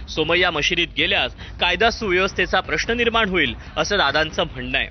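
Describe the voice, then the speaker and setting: A man's voice: a little high, a little hiss or hum. A man reads scripted news narration in a dramatic style. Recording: slightly dull sound over music. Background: background music.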